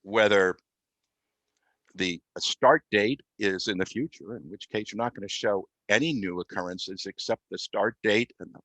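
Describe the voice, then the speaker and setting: A man giving an unscripted software demonstration as a guest, explaining step by step with halting, mid-phrase pauses. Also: on the low side, dry recording. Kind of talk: unscripted software demo walkthrough.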